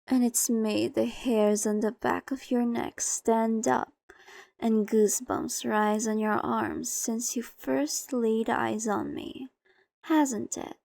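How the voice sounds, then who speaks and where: A woman's voice: on the high side, fairly even intonation, slow pace, creaky, a little mellow, dry recording. A woman playing a seductive, possessive, ancient vampire mistress in an ASMR roleplay, coaxing and condescending, sometimes slipping into whispers. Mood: sinister, coaxing. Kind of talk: ASMR roleplay acting, addressed to the listener.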